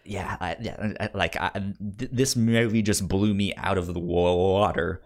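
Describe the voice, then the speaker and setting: A man co-hosting a casual film debate, stuttering on syllables and words as he talks in an animated way. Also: deep voice, a little slow. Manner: animated, acts out mock quotes. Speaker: podcast co-host debating films.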